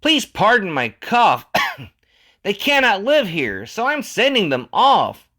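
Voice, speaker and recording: A man's voice: sits high, wildly swooping pitch, slow, sharp tone, voice pushed up, exaggerated voice. A man voicing a grumpy, indignant small storybook creature who scolds and pleads for nature in rhyme. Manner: scolding, plaintive, preachy. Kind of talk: storybook character in rhymed verse.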